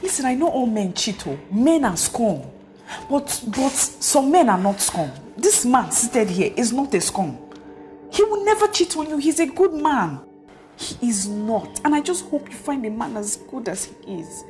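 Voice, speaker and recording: Animated high-voiced, outspoken best friend. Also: female voice, measured pace, soft background music. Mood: scolding, emphatic. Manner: exclamatory, repeats phrases for emphasis. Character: outspoken, emotional best friend, scolding yet consoling. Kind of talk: melodramatic film acting.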